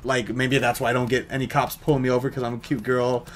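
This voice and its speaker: A man's voice: mid-range voice, flat delivery, quick pace. A young American man telling a story in a casual, rambling, jokey vlog style. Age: young adult.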